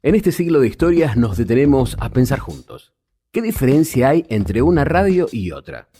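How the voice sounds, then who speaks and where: A man's voice: medium pitch, sing-song, moderate pace, music in the background. A man narrating a scripted documentary as its host.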